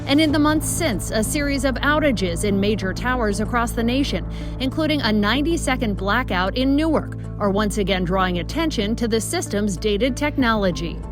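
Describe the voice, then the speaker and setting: An American woman with a fairly high voice delivers scripted TV news narration as an investigative reporter. Music plays clearly behind her. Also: expressive, moderate pace. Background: music bed.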